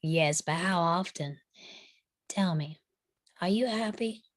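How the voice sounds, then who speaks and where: Woman acting flirty free-spirited western lady, lowish voice, teasing and confident, really dry sound. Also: slow, dry recording. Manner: teasing, self-assured. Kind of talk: live table read acting of a western screenplay.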